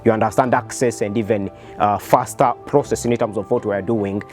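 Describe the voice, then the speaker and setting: A man's voice: on the low side, steady tone, brisk, soft background music. A man with a Rwandan accent speaking as a company founder, explaining his business in an earnest interview style. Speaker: startup founder and CEO.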